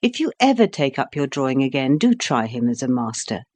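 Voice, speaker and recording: A man's voice: very animated, fairly quick, darkish tone. A man voicing a chatty, insistent older society woman who gushes eagerly in an audiobook. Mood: gushing. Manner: gushing, eager, rising high.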